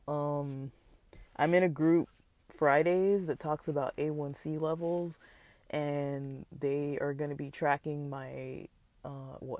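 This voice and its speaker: Vlogger, slightly stuffy and raspy, mellow dark tone, dry close sound; rambling, hesitant delivery full of "like" and "um". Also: medium pitch, dry recording. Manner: rambling, hesitant, many fillers; candid and reflective. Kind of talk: casual personal vlog talk.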